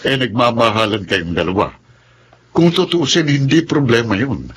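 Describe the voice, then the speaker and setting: A man's voice: medium pitch, expressive, moderate pace, a little hiss or hum, close to an everyday voice. An actor playing a caring, easygoing middle-aged father in a Filipino radio drama. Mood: amused, reassuring. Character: caring, easygoing older father eager for grandchildren. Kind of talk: Tagalog radio drama acting.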